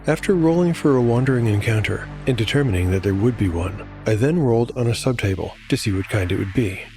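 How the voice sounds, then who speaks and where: A man narrates a dark fantasy audio drama as its solo game master. His delivery is that of scripted storytelling and game commentary. Music plays clearly behind him, along with cricket sounds. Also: medium pitch, animated, quick pace.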